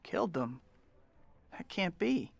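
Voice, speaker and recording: Earnest young hero, lightish pitch. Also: male voice, very animated, takes it slowly, gravelly, no room sound, exaggerated voice. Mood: shocked, disbelieving. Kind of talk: video game acting. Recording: music behind the voice.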